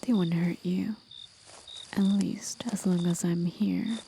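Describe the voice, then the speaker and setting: A woman playing a playful, courteous demon forest guide in an ASMR roleplay, with soft, breathy, often whispered speech and a teasing, gently theatrical manner. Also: fairly low pitch, fairly even intonation, takes it slowly, slight background noise. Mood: calm reassurance. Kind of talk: ASMR roleplay, scripted acting.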